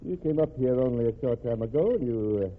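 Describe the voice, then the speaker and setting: An actor playing a stern, dignified governor in an old-time radio crime drama, speaking formally and with measured authority. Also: male voice, medium pitch, animated, lightly acted. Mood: stern, suspicious. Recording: tinny band-limited old radio recording.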